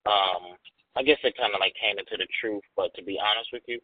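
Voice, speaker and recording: A man's voice: fairly low pitch, fairly quick, no room sound. A man in his forties, a podcast guest talking candidly and emphatically, repeating phrases for stress. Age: 40s. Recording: dry tinny phone-like audio.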